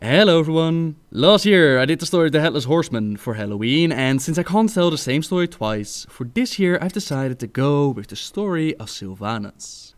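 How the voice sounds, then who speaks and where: Man narrating scripted fantasy lore. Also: mid-range voice. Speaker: video narrator, storyteller.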